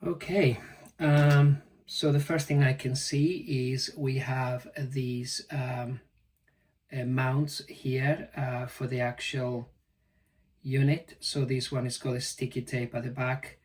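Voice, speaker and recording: A man giving a casual, halting unboxing commentary as a product reviewer. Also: mid-range voice, level tone, a little mellow. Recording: distant mic, faint background noise.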